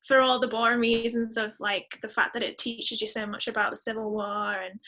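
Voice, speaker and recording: A woman's voice: on the high side, flat delivery, brisk, bright, forceful tone, a little hiss or hum. A woman chatting casually as a guest, emphatic and thinking aloud as she goes. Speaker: podcast guest, singer-songwriter. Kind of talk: casual chat.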